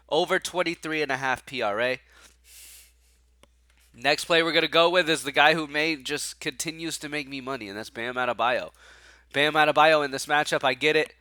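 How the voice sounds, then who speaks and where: A man with a stuffy, nasal voice from allergies, hosting casual sports betting picks, excitable and slangy. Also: mid-range voice, dry recording.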